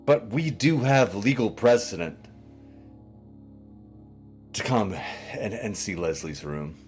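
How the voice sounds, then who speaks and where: A man's voice: fairly low pitch, slow pace, darkish tone, soft background music. A man playing an uncomfortable, formal lawyer investigator who phrases things stiffly and carefully.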